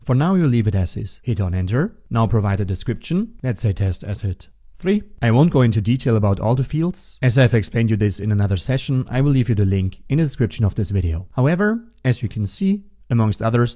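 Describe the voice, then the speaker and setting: A man narrating a step-by-step software tutorial as an instructor, in a methodical, instructional manner. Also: medium pitch, animated, brisk. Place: voice-over for a screen recording.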